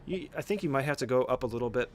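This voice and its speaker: A man's voice: medium pitch, lively intonation, racing, a little mellow. Casual man talking through a game speedrun. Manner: informal, swears casually. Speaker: speedrunner giving a tutorial. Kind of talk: casual game walkthrough commentary.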